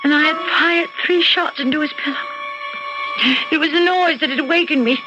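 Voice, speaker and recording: An actress playing a scheming, bored wife in an old-time radio suspense drama, theatrical, genteel and confiding. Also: female voice, sits high, fairly quick, lo-fi sound, close to an everyday voice. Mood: confiding, resolved. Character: scheming, bored wife narrating her murderous plans. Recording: band-limited radio drama with loud music.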